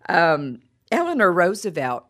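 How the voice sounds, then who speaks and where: A woman's voice: fairly low pitch, huge pitch swings, a little slow, fairly bright tone. A middle-aged woman giving a devotional talk with personal stories, her voice froggy from a cold, chatty and full of stammered repeats and "you know".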